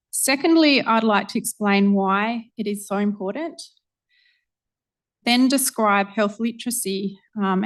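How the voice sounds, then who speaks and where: A woman researcher giving a public lecture, earnest and organized, often pausing with ums. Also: medium pitch.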